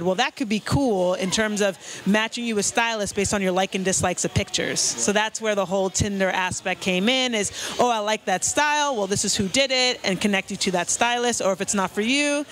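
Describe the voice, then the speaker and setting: A woman's voice: mid-range voice, brisk, few pauses, fairly bright tone. A young woman from the United States, an app founder, giving fluent, upbeat interview answers at a networking party. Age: young adult. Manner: fluent, upbeat, explains with stories.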